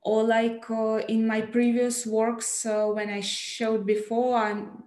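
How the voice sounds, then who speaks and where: A young woman with a Russian accent, speaking as a panelist on a video call, halting and searching for words with many fillers. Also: on the high side, level tone, a little mellow, roomy sound. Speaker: panelist poet. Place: home room, indoors. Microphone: video call, headset.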